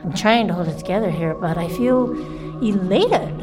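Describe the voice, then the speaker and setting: A woman playing a worldly food journalist in an audio drama, speaking in measured, florid phrases. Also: medium pitch, lively intonation, close to an everyday voice. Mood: elated awe. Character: Louise, worldly female food journalist, drily skeptical then rapturous. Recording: background music under the voice.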